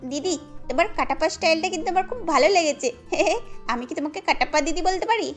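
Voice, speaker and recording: Woman as a girlish, squeaky-high young wife. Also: lively intonation, fast talker, faint music behind. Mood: teasing. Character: young daughters-in-law in a joint family, girlish. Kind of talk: cartoon story dialogue.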